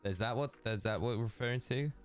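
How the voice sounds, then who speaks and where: A man's voice: medium pitch, fast, faint music behind. A man with a Geordie accent chatting casually and rambling on a live game stream, talking to his chat.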